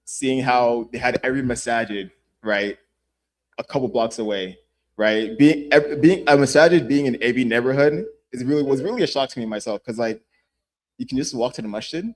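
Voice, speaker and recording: Young male panelist, casual and conversational, mid-pitched voice. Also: fairly quick. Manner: casual, says "right?" a lot. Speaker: youth panelist. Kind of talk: panel discussion.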